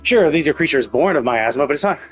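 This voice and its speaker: A man's voice: on the high side, lively intonation, fast, a bit bright. Man musing over a game. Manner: speculative, repeats "like" and "right?". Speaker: game livestreamer. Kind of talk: casual live game commentary. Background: game music.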